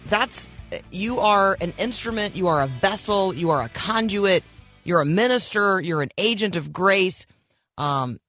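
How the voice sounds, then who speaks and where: A man's voice: on the high side, fairly bright tone, no room sound, clean studio sound. A man hosting a morning radio show, speaking in an earnest, encouraging devotional tone with a slightly froggy voice and frequent ums and restarts.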